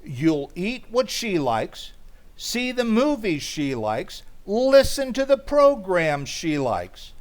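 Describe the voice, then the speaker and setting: A man's voice: high-pitched, big pitch swings, takes it slowly, exaggerated voice. A man playing a middle-aged, wry and henpecked working-class pal in old-time radio comedy, with sardonic, folksy speech. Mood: cynical warning.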